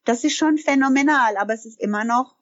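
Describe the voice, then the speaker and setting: A woman's voice: on the high side, fast talker, highs cut off. A bilingual woman who owns a language school, answering interview questions in an emphatic way and often correcting herself mid-sentence. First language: bilingual German and English.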